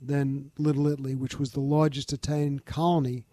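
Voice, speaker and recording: Older Bronx-raised man, steady interview guest, warm and close. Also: mid-range voice, no echo. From the Bronx, New York. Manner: measured, informative, lists details. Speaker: museum founder, guest. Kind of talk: interview conversation.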